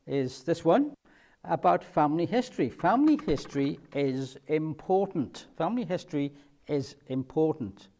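A middle-aged man with a Welsh Cardiff accent delivers a sermon, walking through the passage patiently and repeating his main points. His voice sits a little high for a man, and he often pauses on "uh" as he goes. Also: dark tone. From Cardiff, Wales. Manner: explanatory, repeats key points, frequent fillers. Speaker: preacher.

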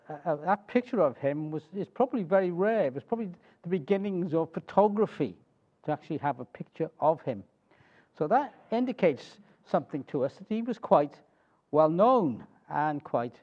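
A middle-aged man with a Welsh accent speaking as a preacher, telling a story to a congregation in a halting, conversational way with little check-in questions. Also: on the high side, muffled recording. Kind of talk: informal sermon telling a missionary biography to a congregation.

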